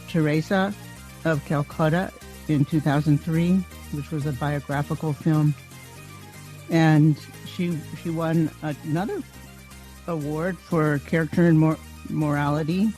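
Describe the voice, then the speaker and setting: A woman's voice: sits low, dark tone, low-quality recording. A woman podcast host introducing her guest admiringly, often restarting and stumbling over words. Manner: admiring, with frequent restarts and fillers.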